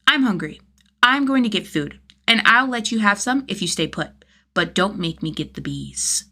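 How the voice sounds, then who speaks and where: Bossy grade-school boy, played by a woman, prim and defiant, raised pitch, storybook dialogue. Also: animated, normal speed, sharp tone. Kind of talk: acted audiobook dialogue.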